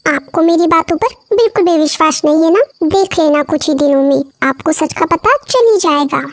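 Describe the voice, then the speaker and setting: A woman's voice: very high voice, moderate pace, no echo, clean studio sound. A woman voicing a concerned, watchful farmer's wife, caring and insistent, in a cartoon moral story. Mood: suspicious, insistent.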